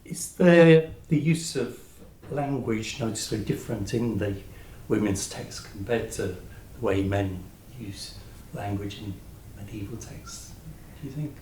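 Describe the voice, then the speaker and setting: A man asks interview questions in a soft-edged, dark voice. The sound is strongly roomy and echoey. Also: on the low side, unhurried, slight background noise.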